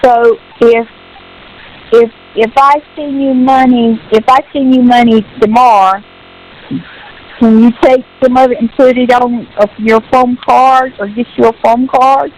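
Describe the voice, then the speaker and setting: An older woman on a personal phone call, speaking casually and earnestly giving advice in a halting, repetitive way. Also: fairly high pitch, steady tone, darkish tone, faint music behind. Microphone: telephone. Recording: hissy, noisy phone call.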